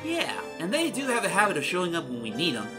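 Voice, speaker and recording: A man playing a cocky, quick-witted young blue hedgehog hero in a comic dub, with a breezy, teasing manner. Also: high voice, wildly swooping pitch, over music. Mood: determined.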